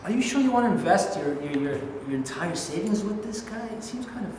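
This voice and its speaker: Male actor as a stammering, worried friend. High-ish voice, echoey studio. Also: lively intonation, a little fast, background noise. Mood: wary. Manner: hesitant, stammering. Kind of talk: staged play reading. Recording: echoey studio room from a camera.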